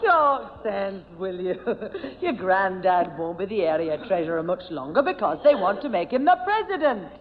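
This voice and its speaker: A woman's voice: medium pitch, very animated, moderate pace, few pauses, a crowd in the background, lightly acted. A woman playing a scolding but indulgent mother in a 1970 radio sitcom, bossy in manner. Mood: exasperated. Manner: bossy, scolding, broad comic delivery. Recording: noisy dull radio recording, studio audience, reverb.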